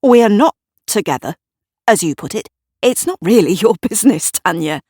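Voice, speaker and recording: A woman's voice: medium pitch, animated, normal speed, a little mellow, no room sound, studio quality, pitched above the performer's own voice, natural voice. A woman voicing a flustered, sheepish male neighbour in an audio drama, hesitant and easily indignant.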